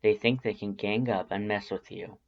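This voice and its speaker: A man voicing a protective skeleton monster with a coldly calm, casual menace in a story reading. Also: on the low side, normal speed, creaky, lo-fi sound. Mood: cold, resolved.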